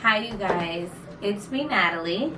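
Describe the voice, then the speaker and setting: A woman in her twenties chats her way through a couple's Q&A, rambling with lots of "um" and "like." Her mid-pitched voice sits at a distance from the mic. The room echoes, and music plays underneath. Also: lively intonation, a little slow. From New York and Florida, USA. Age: 20s. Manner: chatty, rambling with many fillers. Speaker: vlog co-host. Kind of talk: casual Q&A chat.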